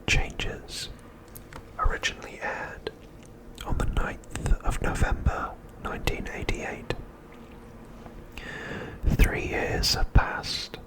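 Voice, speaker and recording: A man narrating a script as ASMR in a breathy, unvoiced whisper, softly and deliberately, pausing often between phrases. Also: poor audio. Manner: soft, deliberate, with frequent pauses between phrases.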